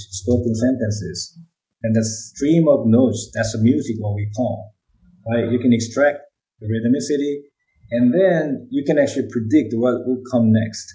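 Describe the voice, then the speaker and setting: A man's voice: mid-range voice, dark tone, rough recording. A man whose first language is Korean, speaking English with a Korean accent, answering interview questions about brain research and often checking in with "right?". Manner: explanatory, often adds "right?".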